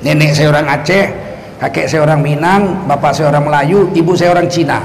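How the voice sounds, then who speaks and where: A man's voice: a little high, fairly even intonation, brisk, vocal fry, slight background noise. A male Islamic preacher, giving a religious lecture laced with sarcastic political commentary and rhetorical questions to the audience. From North Sumatra, Indonesia.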